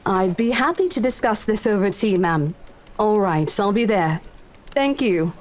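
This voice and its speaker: An actress playing a playful, confident saleswoman in a video game, with a brisk, teasing and polished manner. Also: medium pitch, lively intonation, darkish tone, lightly acted. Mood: polite, professional. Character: playful, confident woman, seasoned real estate saleswoman.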